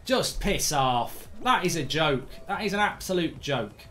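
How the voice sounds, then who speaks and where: A hoarse man in his thirties doing live, blunt, sweary commentary while streaming a game from a desk indoors. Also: on the high side, expressive, measured pace, fairly bright tone. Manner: blunt, sweary, rambling banter.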